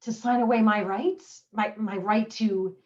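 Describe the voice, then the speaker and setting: A woman acting out a stage monologue as a desperate wife clinging to her husband, with deadpan wit and nervous laughs. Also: mid-range voice, unhurried, lo-fi sound, close to an everyday voice. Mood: pleading. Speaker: community theater actress.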